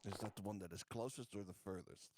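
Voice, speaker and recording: A man's voice: fairly low pitch, steady tone, fast talker, darkish tone, a little hiss or hum. A man talking briefly and hesitantly as a player in a casual tabletop game.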